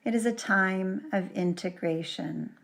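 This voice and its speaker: A woman yoga teacher leading a guided relaxation in a gentle, soothing manner, leaving long pauses between short phrases. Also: medium pitch, gravelly. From Canada.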